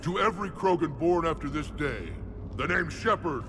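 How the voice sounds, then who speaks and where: An actor playing a huge, proud, battle-hardened alien warlord in a video game, gruff and booming, bold and rousing in speech. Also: male voice, animated, moderate pace, background noise. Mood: solemn, rousing. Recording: loud music over the voice.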